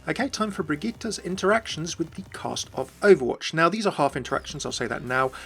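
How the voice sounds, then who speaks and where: A man's voice: on the high side, animated, quick pace, vocal fry, fairly bright tone, husky. A man presenting scripted fan commentary as a voice-over, explaining things and addressing viewers directly. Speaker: video presenter and game commentator. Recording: voice-over with faint music behind.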